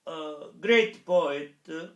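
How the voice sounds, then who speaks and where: A man's voice: on the high side, a bit bright, echoey room. A man with an Italian accent, a professor lecturing in English with frequent hesitant fillers. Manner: hesitant, many pauses and fillers. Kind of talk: public lecture.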